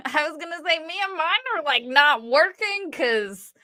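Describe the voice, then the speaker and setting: A woman co-hosting a livestream in casual chat, excitable and playful. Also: high-pitched, unhurried. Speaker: livestream co-host.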